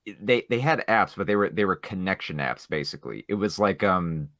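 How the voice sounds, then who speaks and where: A man's voice: deep voice, expressive, a little fast, a bit bright, no echo, studio quality. A man co-hosting a casual podcast, explaining things in a loose, rambling way. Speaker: podcast co-host. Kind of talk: casual group chat about pop culture.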